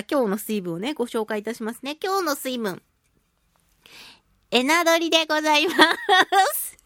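A woman's voice: sits high, bright, forceful tone, no room sound, studio quality. A woman voice actor hosting a casual solo radio talk show, chatting playfully and acting out sound effects.

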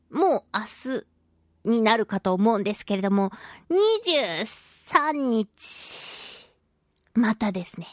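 A woman hosting a solo radio talk show, chatting and reading out listener mail with animated, playful reactions. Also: fairly high pitch, no room sound, studio quality. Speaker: radio show host, voice actress.

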